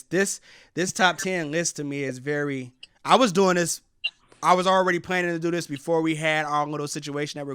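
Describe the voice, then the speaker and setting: A man's voice: fairly high pitch, a bit bright, clean tone, dry recording. Male radio DJ interviewer, clean resonant voice recorded dry, informal and straight-talking. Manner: informal, frank, slangy. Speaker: radio host and DJ interviewing an artist. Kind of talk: casual phone interview.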